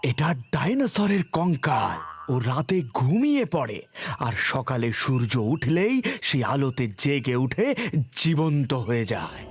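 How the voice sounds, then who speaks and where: A man's voice: medium pitch, sing-song, measured pace, background noise. A man voicing an eager, persuasive safari guide in a comic cartoon, theatrical, emphatic and full of suspense. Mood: ominous storytelling. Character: eager, persuasive male safari guide telling tall tales. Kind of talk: exaggerated comic cartoon dub acting. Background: music.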